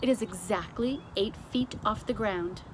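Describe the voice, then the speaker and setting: A woman's voice: medium pitch, moderate pace, natural voice. A woman voicing a composed, commanding male royal butler in a children's storybook, giving formal, precise orders. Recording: clearly noisy amateur recording.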